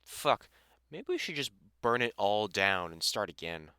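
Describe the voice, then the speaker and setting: A middle-aged British vampire hunter acted by a man speaks in a clear, forward voice, recorded close and dry. He is blunt and sweary, with a teasing fondness, as in an intimate audio roleplay. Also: fairly low pitch, big pitch swings, measured pace, bright, forceful tone, dry recording, heavily acted. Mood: scornful, amused. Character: gruff, kindly middle-aged male vampire hunter and carpenter. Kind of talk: audio roleplay acting, intimate one-sided conversation.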